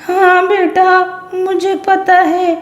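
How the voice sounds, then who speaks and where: A woman's voice: extremely high pitch, little pitch movement, drawn-out words, reverberant, highs cut off, full character voice. A woman playing an older mother crow in a cartoon, protective and indignant, doting on her child and stern with others. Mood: doting concern.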